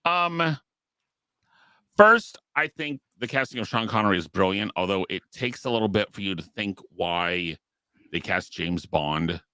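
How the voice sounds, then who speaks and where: Deep, bright-toned man on a podcast. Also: animated, measured pace, dry recording. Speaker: podcast panelist. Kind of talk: casual podcast chat.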